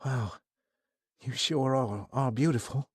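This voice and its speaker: A man's voice: medium pitch, takes it slowly, husky, dry recording, clean studio sound, voice pushed up. A man voicing a flustered, polite eighteen-year-old who stammers and apologizes a lot, in a storybook reading. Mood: nervous.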